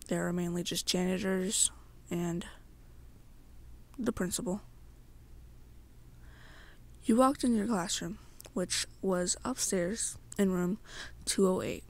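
Young woman doing asmr storytelling, soft n really slow, bit of vocal fry, short choppy phrases. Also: mid-range voice. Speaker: ASMR roleplay narrator.

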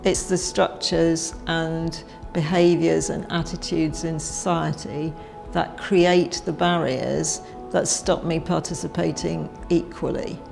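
Middle-aged woman, British accent, lowish creaky voice, measured interview delivery. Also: little pitch movement. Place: indoor office meeting room. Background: music.

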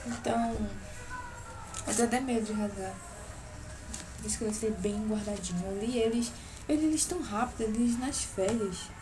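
A woman's voice: on the high side, rough recording. A young woman from Brazil, nasal from a cold, chatting casually about her books on a webcam in her bedroom, often checking in with her viewers. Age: young adult. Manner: chatty, keeps adding "tá?" checks. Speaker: book blogger. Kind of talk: casual vlog book show-and-tell. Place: small bedroom at home. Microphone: webcam at close range. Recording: neighbours' music heard behind. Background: neighbours' music playing.

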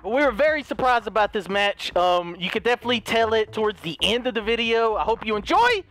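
High-voiced young man hosting a gaming video. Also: quick pace, soft background music. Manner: energetic, upbeat intro, then low-key gameplay chat. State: voice strained from overuse. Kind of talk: casual gaming commentary.

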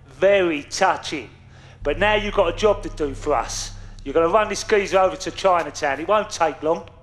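A man's voice: fairly high pitch, normal speed, close to an everyday voice. A man playing a volatile, sadistic London gangster in a video game, taunting and bullying in a London accent. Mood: menacing orders. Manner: taunting, bullying, foul-mouthed. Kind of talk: video game cutscene acting. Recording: music behind the voice.

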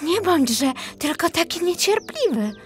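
Woman as a high-voiced, earnest little girl. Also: big pitch swings, unhurried, soft background music, heavily acted. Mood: helpful. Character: kind little sisters, curious and helpful girls. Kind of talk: acted storybook dialogue.

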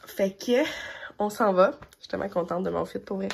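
A woman's voice: medium pitch, a little fast, vocal fry, slightly rough. A young woman with a Québécois French accent chatting casually in an upbeat, rambling way for her vlog, filmed on a phone held at arm's length. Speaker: vlogger. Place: bedroom and car.

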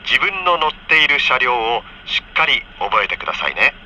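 A man reading a scripted onboard train announcement for children in friendly, polite, clearly enunciated phrases, heard over a train PA. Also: medium pitch, sing-song, moderate pace, pushed and bright. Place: train car, over the PA system. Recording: dull train PA with faint noise.

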